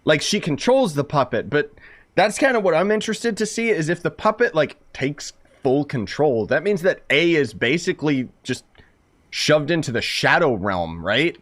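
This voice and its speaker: A man's voice: fairly high pitch, big pitch swings, normal speed, a bit bright. A young man streaming gameplay, talking casually and a little sarcastically about the story. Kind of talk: gameplay commentary. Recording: game music behind.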